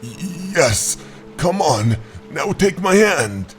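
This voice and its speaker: A man's voice: fairly high pitch, sing-song, voice pushed up, exaggerated voice. A man playing a desperate, aging husband obsessed with rescuing his lost wife, in a dramatic horror manga dub. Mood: frantic, pleading. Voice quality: gravelly, husky. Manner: dramatic, halting monologue. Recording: voice over background music.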